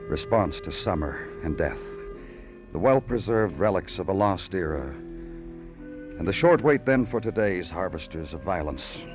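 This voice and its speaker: An actor playing a world-weary, poetic police detective in an old radio crime drama, speaking in clipped, lyrical phrases. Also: male voice, low-pitched, lively intonation, unhurried. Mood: brooding. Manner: clipped, brooding, lyrical narration. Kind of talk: 1940s-50s radio noir acting. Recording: old radio recording with music.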